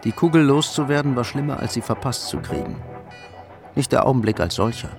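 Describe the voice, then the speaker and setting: Crime radio play narrator, man: creaky-voiced, cool, matter-of-fact, over clear music. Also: mid-range voice, lively intonation, fairly quick.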